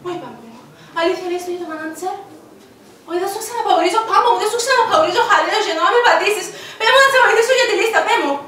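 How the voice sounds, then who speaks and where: Woman doing a cypriot-accent diva wife, whiny and dramatic, super high voice, echoey room far off mic. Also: a bit bright, a little hiss or hum. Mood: indignant. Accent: Cypriot Greek. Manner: whiny, theatrical complaining. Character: whiny Cypriot wife, aspiring nightclub singer. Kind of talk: comedy sketch acting.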